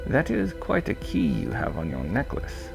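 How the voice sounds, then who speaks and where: A man voicing a kindly old male shopkeeper in an audiobook, with courteous, old-fashioned, gently formal phrasing. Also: mid-range voice, wildly swooping pitch, moderate pace, creaky, music in the background, pitched above the performer's own voice, heavily acted. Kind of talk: audiobook character voice.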